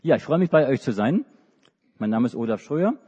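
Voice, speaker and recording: Middle-aged male preacher, animated storyteller for children, husky voice, muffled hall sound. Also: medium pitch, a little fast. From Hamburg, Germany. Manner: storytelling to children, with many restarts and hesitations. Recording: dull recording in a reverberant hall.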